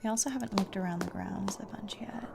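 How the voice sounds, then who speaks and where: A woman's voice: mid-range voice, fast talker, creaky. A woman giving casual gameplay commentary, murmuring as she thinks aloud. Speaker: video game player and host. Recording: loud game music behind voice. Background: game music and sounds.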